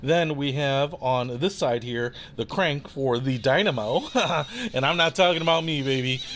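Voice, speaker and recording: A man's voice: mid-range voice, unhurried, a bit bright. A man hosting his own channel, giving a casual, upbeat product review in a studio, with a playful showman's manner.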